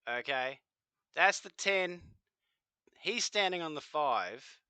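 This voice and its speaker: Man, forties, rambling reaction host, slightly high voice, dry close sound. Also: animated, slow, sharp tone, clear, resonant tone, no echo. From New Zealand. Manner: rambling, exclamatory, swears freely. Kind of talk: casual reaction commentary.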